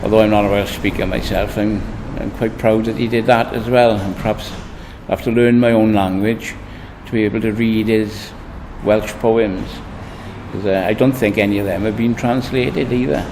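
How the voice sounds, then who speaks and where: A man answering an interview question in a thoughtful, hesitant way, with frequent pauses. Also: on the low side, steady tone, darkish tone. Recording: faint noise with long reverb.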